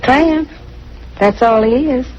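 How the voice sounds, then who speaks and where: An actress playing a gossipy, world-wise waitress in an old-time radio crime drama, talking in a chatty, colloquial way. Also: female voice, sits high, slow pace, a little mellow. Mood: disapproving, frank. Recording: dull, noisy vintage radio recording.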